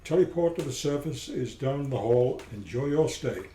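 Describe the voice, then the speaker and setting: A man's voice: medium pitch, lively intonation, moderate pace, dark tone, natural voice. A man playing a purple-furred alien customs officer, with curt, officious questioning in an amateur radio play. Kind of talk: amateur radio drama acting. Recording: roomy echo.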